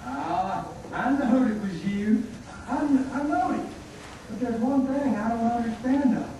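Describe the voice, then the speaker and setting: Woman as a mellow, rustic country boy. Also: a little high, a little slow, slight background noise, lightly acted. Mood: puzzled. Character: eager, simple country boy puppet. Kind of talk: scripted church puppet show dialogue. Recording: echoey room, far mic, faint music.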